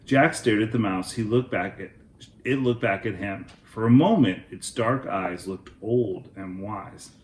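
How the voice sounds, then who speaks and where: A man hosting a children's read-aloud, narrating a storybook in a deliberate, expressive way. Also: lowish voice, roomy sound.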